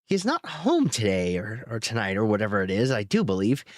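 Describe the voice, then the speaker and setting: A man voicing a small, nervous male hobbit with a shrill, squeaky voice in a storybook reading. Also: very animated, brisk, dry recording, studio quality. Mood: puzzled. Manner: anxious, whispering then shrill outbursts.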